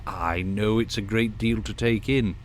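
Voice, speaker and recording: A man playing a formal, fatherly senior starship commander with a measured, tactful manner in a sci-fi audio drama. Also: on the low side, steady tone, slight background noise, natural voice. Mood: gentle, measured. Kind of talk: science fiction audio drama acting.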